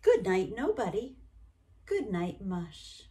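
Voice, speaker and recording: Slow, melodic woman reading a bedtime story. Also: medium pitch, very animated. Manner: gentle, deliberate bedtime delivery with pauses. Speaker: bedtime story reader and host. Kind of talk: reading a picture book aloud to young children. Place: small bright room at home, indoors.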